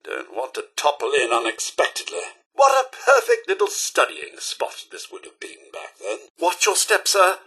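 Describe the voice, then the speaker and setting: An actor playing a polite, fussy, sardonic robot butler in a video game, with a British accent and a prim, theatrical, dryly sarcastic manner. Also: male voice, high voice, very animated, moderate pace, vocal fry, few pauses, pushed and bright, breathy edge, exaggerated voice. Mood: eager, courteous. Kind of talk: scripted game companion dialogue.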